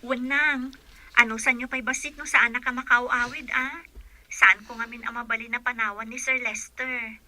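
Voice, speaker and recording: A woman's voice: on the high side, fairly even intonation, normal speed, sharp tone. A woman acting a caring, devoted young caregiver in a Filipino radio drama, with an attentive manner. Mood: earnest.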